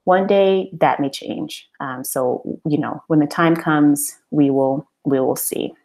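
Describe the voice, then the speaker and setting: A woman's voice: sits low, takes it slowly, darkish tone. A woman hosting an informal business webinar, talking in an encouraging, conversational way and posing rhetorical questions. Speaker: webinar host and business coach.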